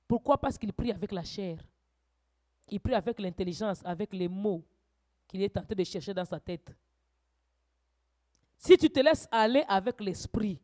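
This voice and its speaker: A woman preacher teaching a church sermon, swinging from quiet asides to loud, urgent exhortations. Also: medium pitch.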